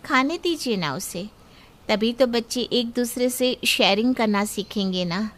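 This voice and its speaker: A woman voicing a young mother who calmly stands up for her daughter. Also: a little high, a little slow. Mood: earnest.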